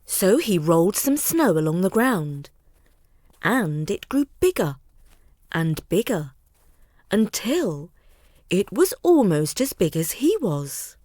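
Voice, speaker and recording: Female bedtime storyteller: lively intonation, unhurried deliberate pace, reading for children. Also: lowish voice, no room sound, studio quality.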